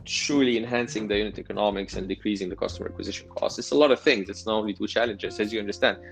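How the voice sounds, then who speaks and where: A man's voice: on the low side, racing, vocal fry, faint music behind. A man answering interview questions as a startup founder, hesitant and often repeating words as he restarts.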